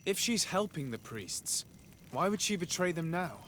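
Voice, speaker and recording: An actor playing a composed, firm envoy in a video game, polite, formal and direct. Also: male voice, high voice, sing-song, normal speed, a bit bright, a little hiss or hum. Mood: probing. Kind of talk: video game cutscene acting.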